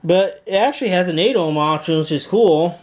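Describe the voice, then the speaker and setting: A man's voice: sits high, a little fast. A man talking informally in a hands-on product demo vlog, rambling a little and correcting himself as he goes. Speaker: video maker reviewing audio gear.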